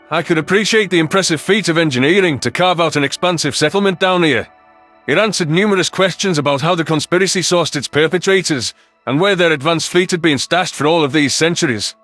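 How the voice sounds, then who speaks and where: A man's voice: fairly high pitch, fairly bright tone. A man reading a scripted first-person science fiction story as an audiobook narrator.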